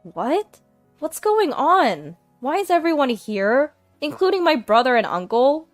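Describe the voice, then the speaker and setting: A woman's voice: medium pitch, huge pitch swings, moderate pace, no room sound, soft background music. Brief: shameless young woman, flippant and offhand, voiced by a woman narrator. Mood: sleepy.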